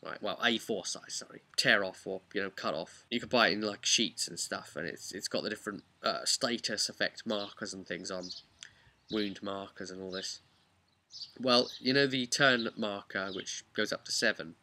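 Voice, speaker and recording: Casting: man as chatty game master, bright punchy delivery, unscripted and emphatic, lightly noisy recording. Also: lowish voice, moderate pace. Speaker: game master recapping a session. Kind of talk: casual unscripted storytelling vlog.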